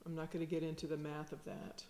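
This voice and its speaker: A woman with a low voice, a sound healer giving a gentle, unhurried lesson. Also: little pitch movement, roomy sound. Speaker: shamanic sound healer teaching. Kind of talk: slow calm instructional talk.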